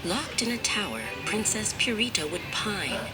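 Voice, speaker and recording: A woman's voice: medium pitch, lively intonation, moderate pace. A woman narrating a rhyming fairy tale for children in a dramatic, theatrical style. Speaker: storyteller. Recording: roomy echo, loud music bed. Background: loud music.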